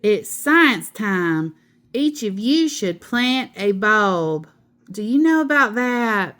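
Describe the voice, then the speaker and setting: A woman's voice: medium pitch, big pitch swings, slow pace, fairly bright tone, pitched above the performer's own voice. A woman voicing a cheerful, literal-minded, folksy woman teacher in a children's storybook, full of exclamations. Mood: brisk, friendly.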